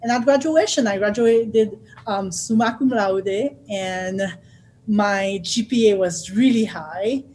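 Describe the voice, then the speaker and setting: A woman from Haiti, telling her own story as a guest in a thoughtful way, often pausing with fillers and restarting. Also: medium pitch, darkish tone, low-quality recording. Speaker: author and guest speaker. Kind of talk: personal storytelling.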